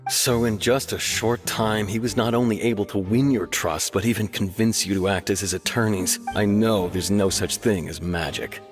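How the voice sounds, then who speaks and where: A man plays a seasoned-sounding investigator in a game, with a deep voice. He lays things out in a blunt, measured, doubting way. Music runs quietly behind him. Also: fairly even intonation, close to an everyday voice. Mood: sceptical. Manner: measured, blunt, matter-of-fact. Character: frank, sceptical adult male investigating officer. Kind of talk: video game dialogue, explanatory. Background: soft background music.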